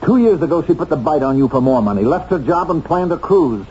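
An actor playing a wronged ex-convict in a 1970s radio drama, accusing firmly and deliberately. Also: male voice, on the high side, animated, darkish tone, natural voice. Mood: forceful, accusing. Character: wronged ex-convict bookkeeper, resolute accuser clearing his name. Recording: dull, noisy old radio recording.

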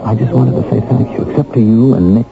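A man's voice: mid-range voice, fast, vocal fry, very noisy. An American actor playing a bitter, determined middle-aged man just freed from prison, speaking earnestly and emphatically in 1970s radio drama style. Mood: tender, earnest. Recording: dull vintage radio recording with music.

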